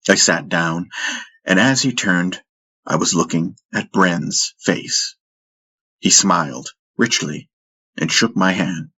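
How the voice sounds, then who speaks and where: A man narrating first-person fiction in a measured, dramatic storytelling manner. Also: low-pitched, bright, forceful tone, slightly rough. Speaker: audiobook narrator.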